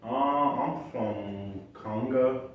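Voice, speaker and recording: A young man raised in Uganda, answering interview questions in a halting way with many pauses and restarts, recorded from a distant mic in an echoey room. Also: mid-range voice. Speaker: interview guest. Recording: echoey, distant, dull sound.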